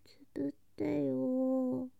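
A woman playing an affectionate, clingy younger girl cousin in an intimate bedtime ASMR drama, speaking softly and breathily, often in whispers, drowsy and coaxing. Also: high-pitched, little pitch movement, slow pace, gravelly, dry recording, dull audio, heavily acted. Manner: soft, drowsy, coaxing, sweetly childlike.

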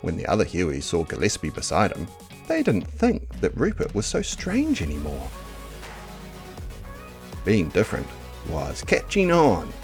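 A man narrates a picture book with very lively, swooping intonation. He takes his time over each phrase, in a measured storytelling way, with soft music behind him. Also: sits low. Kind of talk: expressive picture book read-aloud.

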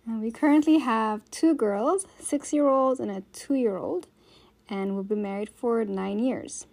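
A woman's voice: on the high side, measured pace, gravelly, darkish tone, poor audio. A woman speaking thoughtfully about the challenges of raising two girls. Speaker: interviewee, wife and mother. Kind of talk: casual chat.

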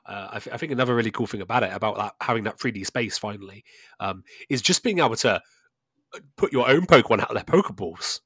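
Fast-talking man, enthusiastic podcast co-host. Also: lowish voice, expressive, a bit bright, husky, no echo, clean studio sound. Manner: enthusiastic, tags statements with "right?". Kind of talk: casual chat about video games.

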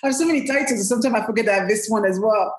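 A woman's voice: fairly high pitch, very fast, reverberant. A woman with a French accent, a brand strategist guest, answering interview questions in a storytelling way with many "you know" fillers. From France.

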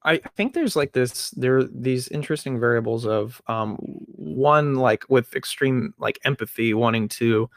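A man's voice: mid-range voice, unhurried, few pauses, dry recording, clean studio sound. Reflective man musing on a podcast. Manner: musing, reflective, heavy on "like" and fillers. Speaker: podcast co-host, actor. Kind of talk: casual podcast chat.

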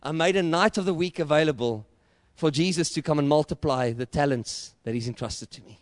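Passionate South African preacher, high voice. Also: male voice, fairly quick, no echo, clean studio sound. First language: Afrikaans. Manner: passionate preaching, rising to loud emphatic peaks; repetitive rhetorical build-ups. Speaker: pastor. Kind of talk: sermon.

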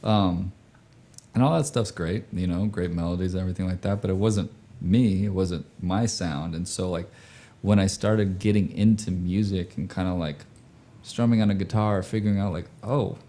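A man's voice: low voice, fairly even intonation, moderate pace, warm tone. A man in his forties from Indonesia, chatting casually about music in an informal, slangy, storytelling way. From grew up overseas in Indonesia. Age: 40s.

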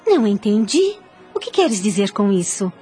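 An actress playing a tender, devout, sorrowful mother in a radio soap opera, with a husky voice and a gentle, heartfelt manner. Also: mid-range voice, very animated, moderate pace, soft background music.

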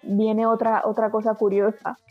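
A woman from Barranquilla with a Colombian Caribbean accent tinged by Spain, slightly husky, telling anecdotes conversationally as an interview guest and acting out quoted exchanges. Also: a little high, monotone, moderate pace, no echo, muffled recording, soft background music. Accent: Colombian Caribbean with Spanish influence.

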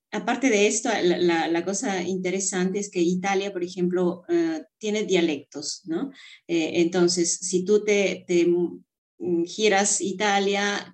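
Lower-voiced woman explaining conversationally. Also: darkish tone. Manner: explanatory, often checks with "¿no?".

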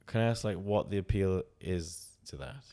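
A man's voice: low-pitched, moderate pace, warm tone. A young man in a casual chat, asking curious and teasing questions.

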